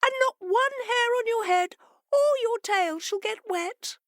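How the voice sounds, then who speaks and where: A woman voicing a shoal of small, frightened fish in a high, hesitant voice. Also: a little slow, dry recording, studio quality. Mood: anxious. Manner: hesitant and flustered.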